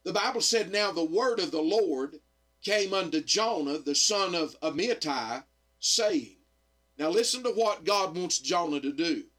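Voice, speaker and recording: Slightly hoarse preacher man. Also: high voice, expressive, unhurried, fairly bright tone. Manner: earnest preaching with frequent "amen" and "uh". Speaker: radio evangelist and pastor. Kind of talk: radio sermon.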